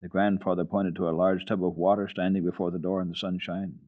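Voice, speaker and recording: A male storyteller with a fairly low voice reads classic children's fiction. His delivery is expressive and unhurried, in the manner of a dramatic radio reading. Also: narrow, tinny audio. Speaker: storyteller, radio program host.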